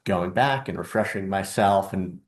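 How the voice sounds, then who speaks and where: Soft-spoken man with a low voice. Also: a little slow. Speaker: guest author. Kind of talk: casual interview answers.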